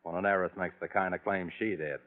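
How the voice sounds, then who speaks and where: An actor playing a blunt, defensive airplane co-pilot with slangy speech in an old radio drama. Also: male voice, fairly even intonation, fast, long reverb tail, lightly acted. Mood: bitter. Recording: boomy, narrow vintage radio recording.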